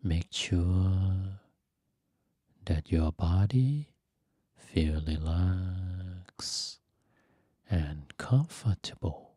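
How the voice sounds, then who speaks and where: Very slow, low-voiced man guiding meditation. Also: no echo, clean studio sound. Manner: gentle, soothing, long pauses between short phrases. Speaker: Buddhist monk teaching meditation. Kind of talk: guided meditation.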